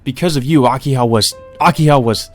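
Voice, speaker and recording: A man's voice: mid-range voice, brisk, natural voice. A man playing an anguished young visual novel protagonist, urgent, incredulous and accusing. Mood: anguished, angry. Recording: music under the voice.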